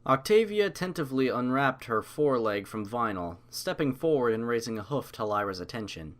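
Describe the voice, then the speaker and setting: A man's voice: mid-range voice, very animated, brisk. A man narrating a scripted story as an audiobook reader.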